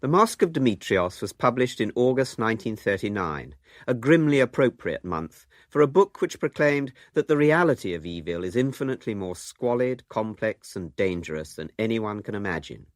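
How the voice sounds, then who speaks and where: A man's voice: lowish voice, sing-song, normal speed, hardly any pauses, dry recording, studio quality. A man presenting a scripted radio documentary.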